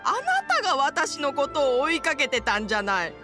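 A woman playing a kindly elderly village wife in an anime game, gentle and grandmotherly. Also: sits high, lively intonation, fairly quick, music in the background. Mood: fond, teasing. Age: older. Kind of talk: Japanese anime game voice acting.

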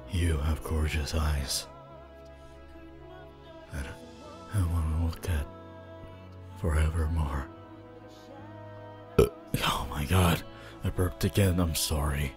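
A man voicing a shy, gentle, apologetic young wolf student in an ASMR roleplay, hesitant, stammering and soft-spoken. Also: a bass voice, steady tone, slow, long pauses, music in the background. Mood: tender, admiring.

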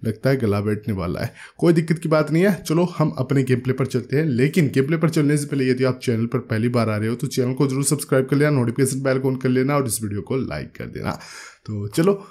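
A man's voice: mid-range voice, expressive, fast talker, dark tone. A man, giving casual, chatty gameplay commentary in a joking way, close on a desk microphone. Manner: chatty, joking, addresses viewers as bro. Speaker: gaming video host. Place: small indoor studio setup. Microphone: desk microphone up close, with headset.

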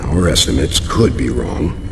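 A learned, level-headed scientist in a game, with an extremely low voice. He speaks precisely and with measure while loud music plays. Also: animated, a little slow, creaky, exaggerated voice. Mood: grave. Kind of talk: dramatic video game acting.

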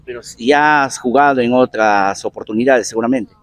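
A man's voice: medium pitch, moderate pace, no room sound. A man with a Peruvian accent reporting from an outdoor football field, friendly and affectionate as he interviews children. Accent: Peruvian Spanish. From Peru.

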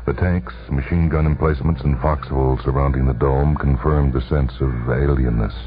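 A man narrating a literary story for radio with dramatic, deliberate phrasing. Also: a bass voice, steady tone. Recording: tinny vintage radio broadcast, music behind. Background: music.

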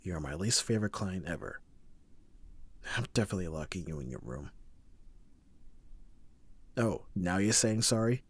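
Young male bodyguard played by a man for roleplay audio drama: deep-ish, husky, abrasive, teasing, secretly caring. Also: animated, moderate pace, long pauses, full character voice. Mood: grumpy. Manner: sardonic, abrasive yet reassuring.

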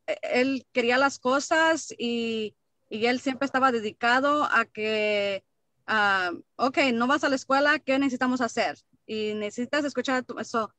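A young woman on a video call, her voice fairly high and dry with no room sound. She talks hesitantly with many fillers and drops English words into her sentences. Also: sharp tone, no echo. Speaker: school district staff, former colleague. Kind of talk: personal tribute on video call.

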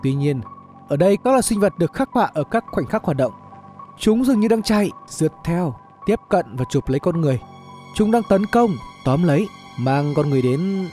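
A man reading a scripted dramatic story as narrator, in an expressive storytelling manner. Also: a little high, fairly quick. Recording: music bed under the voice. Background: background music.

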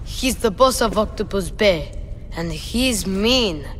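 A woman voicing a curious, cheeky young boy in a video game, excitable and boastful. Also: medium pitch, slow, a little hiss or hum. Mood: chatty.

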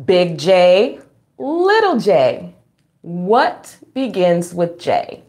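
A woman's voice: on the low side, wildly swooping pitch, very slow, a little mellow. A middle-aged woman hosting a storytime, reading aloud to young children with exclamations, sing-song emphasis and questions to her listeners. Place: small room at home, indoors.

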